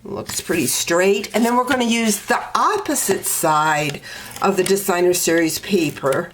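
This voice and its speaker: A woman with a stuffy, congested voice talks through a card-making project in an unhurried, step-by-step way. Her pace is slow and easy, with faint background noise and small clicks under her voice. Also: on the low side, fairly bright tone. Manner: slow, step-by-step instructing with fillers. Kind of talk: crafting tutorial walkthrough.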